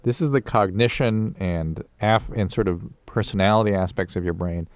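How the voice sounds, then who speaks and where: A man co-hosting a radio call-in show as a physician, calmly explaining medical facts to a caller. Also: fairly low pitch, moderate pace, clear, resonant tone, dry recording. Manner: calm, explanatory, clinical. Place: radio studio. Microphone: close studio mic.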